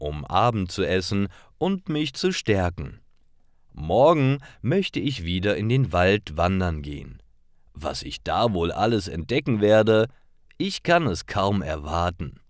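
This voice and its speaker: Male narrator for learner stories: unhurried, lively intonation, deliberate delivery, dry close sound. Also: sits low, no room sound. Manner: clear, deliberate storytelling for learners. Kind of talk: scripted story narration for language learners.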